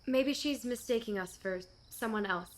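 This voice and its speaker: A woman's voice: fairly high pitch, moderate pace, slight background noise, natural voice. An actress playing a struggling young woman in a radio play, guarded but hopeful. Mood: shy, coaxing.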